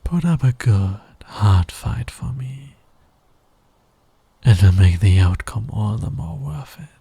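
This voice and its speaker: A man playing a smug, flirty fantasy villain in an intimate ASMR roleplay, breathy and teasing, often sinking toward a whisper. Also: lowish voice, expressive, dark tone, no room sound, heavily acted. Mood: confident, challenging. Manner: teasing, slow, deliberate, dominant. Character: flirty, smug male fantasy villain, patient, smitten with hero. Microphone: close microphone, dry sound.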